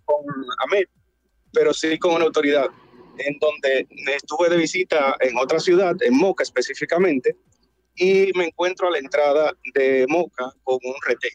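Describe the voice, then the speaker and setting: On a slightly dull online connection, a man with a Dominican accent calls in to a radio show. His voice is fairly high for a man and the sound is dry. He tells his anecdote in a casual way. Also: normal speed, no echo. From Dominican Republic. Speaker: radio caller.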